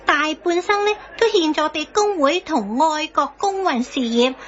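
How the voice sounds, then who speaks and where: A woman's voice: sits high, lively intonation, moderate pace, a bit bright. An actress with a Hong Kong Cantonese accent playing an opinionated, theatrical, argumentative woman in a satirical radio drama. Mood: plaintive. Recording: slightly dull radio broadcast.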